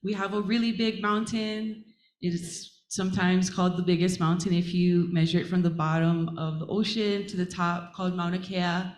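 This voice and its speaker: A woman from Hawaii speaking as a panelist and assistant professor on a conference stage, earnest and warm, with frequent pauses and fillers. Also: on the low side, little pitch movement. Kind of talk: panel talk to a live audience. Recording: roomy hall echo.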